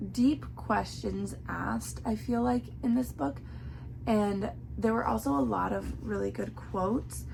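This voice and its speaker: A young woman with a hoarse, worn-out voice, chatting casually through a book review with lots of filler words. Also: medium pitch, darkish tone, a little hiss or hum, low-quality recording. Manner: chatty, many "like" fillers, earnest.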